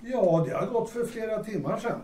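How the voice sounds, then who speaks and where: A man's voice: sits high, mellow, natural voice. An actor in an audio drama playing a patient, dry-humoured male friend. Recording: roomy, distant mic, faint noise.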